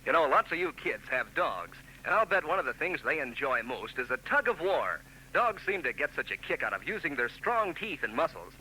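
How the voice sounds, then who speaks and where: A friendly man reading sponsor messages on a 1940s radio show, in a persuasive way. His fairly high voice moves lively and sounds very bright. Faint hiss of the old broadcast lies beneath. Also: normal speed, rough recording. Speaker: announcer.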